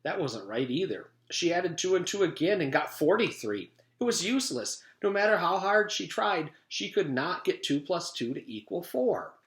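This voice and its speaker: A man's voice: sits high, sing-song, normal speed, hardly any pauses, a bit bright. A man reading a children's story aloud as a teacher would to a class. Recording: echoey room, home-made reading.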